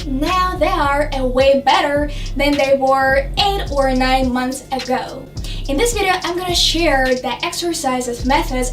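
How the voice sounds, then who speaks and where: A woman's voice: high-pitched, roomy sound, distant mic. A young woman with a Russian accent, earnestly explaining English pronunciation tips step by step to the camera. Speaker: English learning vlogger. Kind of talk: scripted to-camera advice. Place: dim room with lamp and globe.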